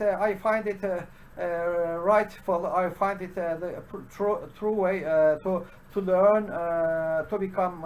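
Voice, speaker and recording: A man with a Turkish accent, a native Turkish speaker, giving an unscripted solo commentary for his programming channel, halting and full of "uh" fillers and restarts. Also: sits high, slight background noise.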